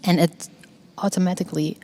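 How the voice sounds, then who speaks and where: A woman in a reflective group conversation, speaking thoughtfully with frequent pauses and a voice that often trails off softly. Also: on the low side, flat delivery, a little hiss or hum. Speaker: discussion participant. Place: exhibition hall in a museum.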